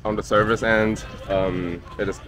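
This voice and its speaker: A man's voice: fairly low pitch, a little slow, faint music behind. A man from Austria, a German native speaker, talking casually and unhurriedly with a friend, full of fillers and restarts. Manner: relaxed, many fillers and restarts. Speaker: guest, childhood friend. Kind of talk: casual conversation.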